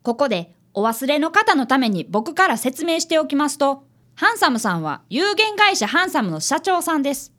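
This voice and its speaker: A high, very lively little boy played by a woman, earnest and eager in his politeness. He is an apprentice detective in a radio drama and also narrates it. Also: normal speed. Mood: earnest narration. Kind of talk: Japanese comic radio drama acting.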